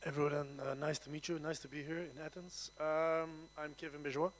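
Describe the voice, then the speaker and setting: A man's voice: fairly high pitch, level tone, brisk. A man, a goalkeeper coach introducing himself plainly at a press conference. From Belgium.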